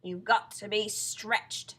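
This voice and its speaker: A woman acts out a nasty older husband in a children's storybook, booming and bright with a husky edge. He bullies with relish, stretching out his words in mock-solemn menace. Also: animated, heavily acted. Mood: ominous, mock-solemn. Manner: loud, emphatic, drawn-out menacing pronouncements. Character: gleefully cruel husband, an older man, bullying and mock-solemn. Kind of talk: children's storybook character reading.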